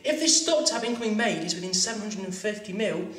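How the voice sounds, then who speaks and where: A fairly high-voiced man in his thirties walks learners through a plumbing rig in a workshop, practical and instructive, now and then stumbling and restarting a phrase. He is picked up from a little way off the mic, and the room rings with echo. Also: fast talker, a bit bright, distant mic. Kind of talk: hands-on demonstration lesson. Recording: echoey room, voice a bit off-mic.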